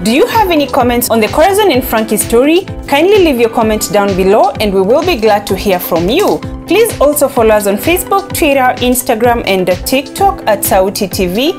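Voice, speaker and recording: A woman's voice: fairly high pitch, very animated, fairly quick, few pauses. A woman with a Kenyan accent presenting entertainment news as a scripted voice-over, upbeat, reading posts aloud. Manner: upbeat, reads quotes aloud. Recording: voice-over with loud background music.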